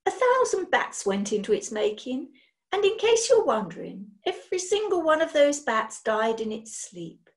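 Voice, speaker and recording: A woman's voice: sing-song, normal speed. A woman playing a gushing eccentric witch lady. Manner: theatrical, rising to outraged squeaks.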